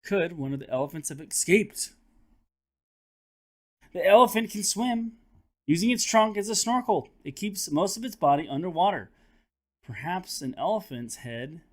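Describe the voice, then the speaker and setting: Expressive man reading aloud to children. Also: high-pitched. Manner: expressive, teacherly, pauses to address listeners with questions. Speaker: third grade teacher reading aloud for children. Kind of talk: read-aloud of a children's nonfiction book.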